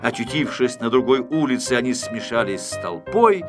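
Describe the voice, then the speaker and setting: A man narrating a children's story from a script in an expressive, theatrical storytelling manner with dramatic emphasis. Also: medium pitch, normal speed, over music. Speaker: audiobook narrator.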